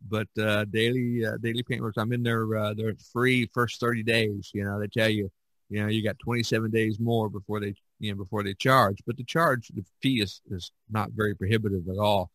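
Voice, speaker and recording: A man hosting a casual podcast discussion, thinking aloud in a halting way and repeating words. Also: fairly low pitch, little pitch movement, a bit bright, dry recording. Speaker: podcast host and artist.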